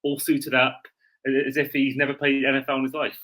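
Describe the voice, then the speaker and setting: A man's voice: medium pitch, completely flat delivery, a little fast, bright, forceful tone. A man co-hosting a sports podcast in casual, chatty, informal conversation.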